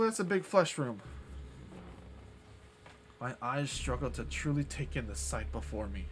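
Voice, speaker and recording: A man's voice: mid-range voice, noisy background. A man live-streaming a spooky visual novel, reading its narration aloud dramatically and dropping casual, sweary asides. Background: game music.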